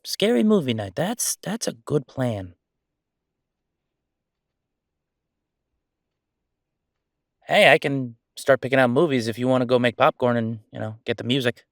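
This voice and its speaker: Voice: medium pitch, animated, brisk, no echo, clean studio sound, natural voice. An actor playing a chatty, sardonic ghost roommate in a roleplay audio, talking in a casual, teasing, exclamatory way. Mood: upbeat, casual. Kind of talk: roleplay audio acting, conversational.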